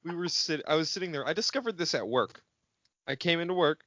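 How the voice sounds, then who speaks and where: A man talking casually as a podcast co-host, telling stories in an exasperated, animated way. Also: fairly high pitch, quick pace, no room sound. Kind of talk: casual chat. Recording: dull lo-fi podcast audio, dry.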